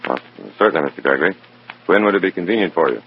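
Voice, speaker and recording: A very low male voice as a cornered undercover agent in a vintage radio thriller. He is terse and urgent, swinging widely in pitch. The recording is dull and noisy. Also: lively intonation, rapid-fire. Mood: guarded. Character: tense, cornered undercover operative posing as another man. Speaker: lead actor and narrator. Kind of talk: old-time radio drama acting, noir first-person narration.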